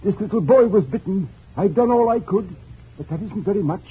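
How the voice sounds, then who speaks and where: An actor playing a grave, pleading country doctor in an emotive 1940s radio drama style. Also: male voice, high-pitched, animated, brisk, breathy edge, full character voice. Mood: grave, hopeful. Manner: earnest, emotive. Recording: dull old radio recording.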